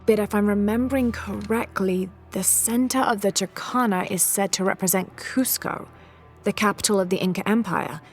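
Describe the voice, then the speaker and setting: An actress playing a determined, inquisitive young female archaeologist in a measured, scholarly way for a video game cutscene. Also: mid-range voice, close to an everyday voice. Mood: thoughtful. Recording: music under the voice.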